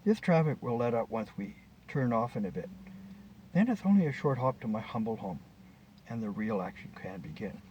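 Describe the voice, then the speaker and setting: A man's voice: medium pitch, expressive, normal speed, a little mellow, highs cut off, close to an everyday voice. A man voicing a smug, boastful scientist who is suave and showy, in dialogue from a short story read aloud. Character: smug, boastful male scientist wooing a passenger. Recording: distant mic with faint background noise.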